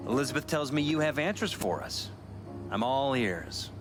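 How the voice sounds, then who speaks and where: An actor playing an earnest, courteous politician in a cinematic video game. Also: male voice, mid-range voice, expressive, normal speed, natural voice. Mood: earnest, expectant. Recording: music behind the dialogue.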